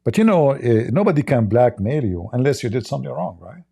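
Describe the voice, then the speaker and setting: Male host, non-native English, sarcastic and laughing, warm mellow tone. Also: medium pitch, very animated, fairly quick, gravelly, husky. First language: not English. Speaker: livestream host. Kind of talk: mocking commentary on video clips.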